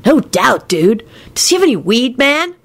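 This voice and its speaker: A woman's voice: a little high, sing-song, moderate pace, voice pushed up. A woman voicing a rude, easily irritated boy in a storybook, with blunt, slangy slacker talk. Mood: bored. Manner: drawling slang, says dude and man constantly.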